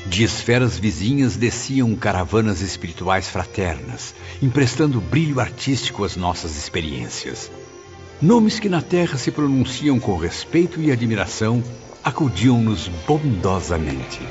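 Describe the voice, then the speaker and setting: Brazilian spirit storyteller, lowish voice, very melodic and formal, music under it. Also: male voice, unhurried. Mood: admiring. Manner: expressive, formal. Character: male spirit narrator recounting wonders, admiring, literary. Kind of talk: scripted audiobook narration. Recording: slightly dull audio over music.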